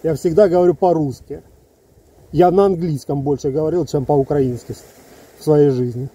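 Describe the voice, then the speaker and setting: A man's voice: on the high side, steady tone, moderate pace, dark tone, breathy edge, poor audio. A man in his fifties from Ukraine, talking heatedly to his phone camera outdoors among trees, in an emphatic, rambling monologue full of asides. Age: 50s. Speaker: soldier vlogger. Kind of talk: casual video monologue to camera. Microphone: phone held close, selfie framing.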